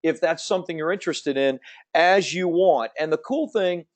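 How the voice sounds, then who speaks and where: A man in his fifties with a slight Southern American accent, rattling through a webinar at speed. His voice sits a little high for a man. His delivery is upbeat and salesy, like a pitch to an online audience. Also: moderate pace. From Central Florida. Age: 50s. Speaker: webinar host. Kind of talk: fast promotional webinar presentation.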